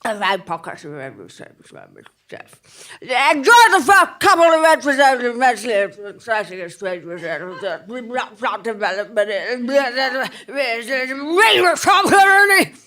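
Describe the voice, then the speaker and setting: A man playing an eccentric, excitable informant with a halting, garbled, peculiar dialect in an audio drama. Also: very high-pitched, exaggerated voice. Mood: flustered urgency.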